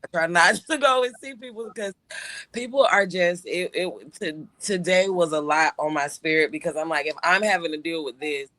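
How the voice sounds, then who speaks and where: A man's voice: fairly high pitch, normal speed, bright, forceful tone, no room sound. A man co-hosting a casual talk show, telling a personal story with lots of restarts and fillers. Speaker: show co-host. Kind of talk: casual podcast chat.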